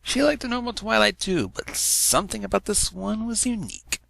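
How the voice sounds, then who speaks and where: A man's voice: sits high, expressive, moderate pace, vocal fry, fairly bright tone. A man reading fan fiction aloud as a scripted story narration.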